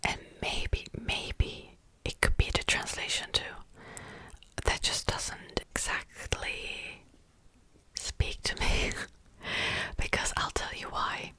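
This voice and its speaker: Slow soft-whispering woman. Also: low-quality recording. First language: Czech. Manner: soft unvoiced whisper, rambling with many fillers. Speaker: ASMR host. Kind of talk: whispered ASMR book chat.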